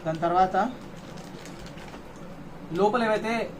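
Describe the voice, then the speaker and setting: A man with a distinctly high voice and a Telangana accent talks in a casual vlogging style. He speaks informally, slipping English words into his sentences. A faint layer of noise sits behind his voice. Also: slow. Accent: Telangana Telugu. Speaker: motovlogger. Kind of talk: casual unboxing vlog chat.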